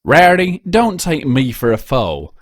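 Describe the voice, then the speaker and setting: A man's voice: medium pitch, huge pitch swings, a little slow, no room sound, clean studio sound, voice pushed up. A man voicing a blunt, plain-spoken female farm pony with a folksy drawl in a story reading. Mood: skeptical.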